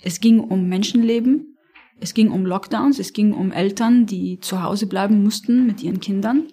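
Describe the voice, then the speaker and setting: A woman's voice: medium pitch, normal speed, warm tone, breathy edge. A woman from Lebanon with an Arabic accent, whose first language is Arabic, answering interview questions thoughtfully with frequent hesitations. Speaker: podcast guest, campaigner.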